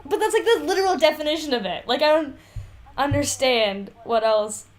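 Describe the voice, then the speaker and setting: Woman co-host, fairly high pitch, casual banter, giggly, slangy, swears easily. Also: expressive, moderate pace. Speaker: podcast co-host.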